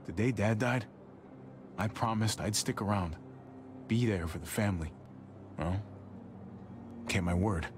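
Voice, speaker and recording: A man's voice: lowish voice, measured pace, pauses often, noisy background, natural voice. An actor playing an easygoing, wry younger brother loyal to his family, courteous and understated, in a cinematic video game. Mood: sincere.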